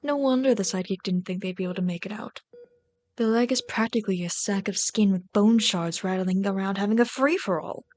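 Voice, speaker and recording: A woman performs a commanding villainess in an audio roleplay. Her voice sits at a middle pitch, and she delivers everything with dry, theatrical mockery that has some care in it. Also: brisk, darkish tone, natural voice. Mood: scornful, dry. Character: sardonic, commanding female villain, mocking yet caring. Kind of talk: ASMR audio roleplay drama.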